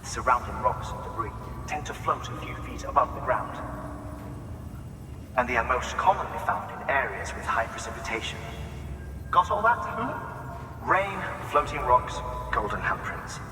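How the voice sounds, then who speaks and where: An eccentric, pedantic scientist in a video game, played by a man, lecturing with theatrical precision. His pitch moves around a great deal, and he sounds set back in a long, reverberant space with noticeable background noise. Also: expressive, moderate pace, natural voice. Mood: enthusiastic, instructive. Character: eccentric, pedantic male scientist briefing a courier. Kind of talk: video game voice acting, expository briefing. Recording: roomy, distant, noisy game ambience.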